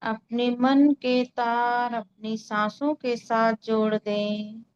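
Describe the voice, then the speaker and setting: A woman leading a guided meditation in a calm, soothing way, giving unhurried instructions with long pauses. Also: fairly high pitch, clean tone, lo-fi sound. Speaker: meditation guide and Reiki healer.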